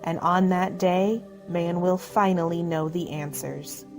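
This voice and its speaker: A woman narrates an old-style radio drama at a slow pace in a fairly low voice, with music playing behind her. Her delivery is scripted and theatrical, as an announcer's. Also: steady tone.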